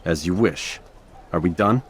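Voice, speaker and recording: An actor playing a proud, impatient assassin in a video game, terse and coolly confident. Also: male voice, low voice, measured pace, slightly rough. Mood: curt, confident. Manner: terse, flat confidence, curt. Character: proud, cool, impatient young male assassin. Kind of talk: dramatic video game dialogue.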